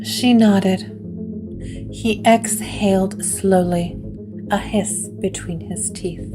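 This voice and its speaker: A woman narrating a scripted gothic horror story in a dramatic, deliberate way, with plucked string music behind her. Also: mid-range voice, steady tone.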